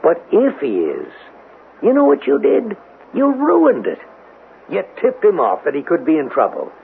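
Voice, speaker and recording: Exasperated, gravelly police lieutenant, old radio. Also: male voice, high-pitched, wildly swooping pitch, unhurried, full character voice. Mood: scolding. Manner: scolding, emphatic. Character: exasperated, world-weary male police lieutenant. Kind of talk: 1970s radio drama acting. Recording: thin, phone-like vintage radio with faint hiss.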